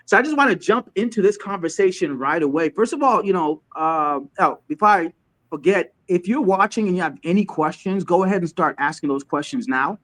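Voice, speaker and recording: A man from Chad hosting an online talk show, speaking casually with frequent restarts and pauses as he sets things up and welcomes viewers. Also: sits high, animated, fairly bright tone. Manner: halting, many restarts while setting up. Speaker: host. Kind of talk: casual livestream interview hosting.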